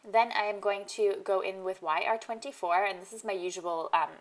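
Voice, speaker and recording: A woman's voice-over for a crafting tutorial, nasal and stuffy from a lingering cold. She talks in a relaxed, chatty way, walking through each step with the odd um. Her pitch sits in an ordinary middle range. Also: moderate pace, low-quality recording. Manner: chatty, explains each step, frequent ums. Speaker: card-making tutorial host.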